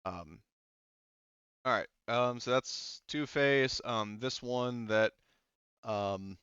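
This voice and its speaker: A man running a tabletop game as game master, pausing often with ums while he counts dice and tracks the turn. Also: mid-range voice, fairly bright tone, smooth, dry recording, muffled recording. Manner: halting, many ums, counts dice aloud.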